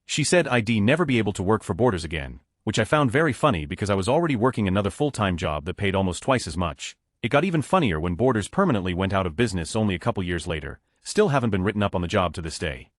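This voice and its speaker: Fast-reading man with a low voice. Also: very animated, no room sound, clean studio sound. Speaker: narrator. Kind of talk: reading online stories aloud.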